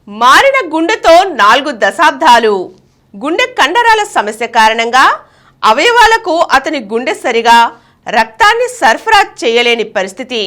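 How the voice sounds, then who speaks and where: A woman news reader delivers scripted bulletins. Her voice sits high and has a bright, forceful edge. Her intonation is animated, rising and falling widely through the copy.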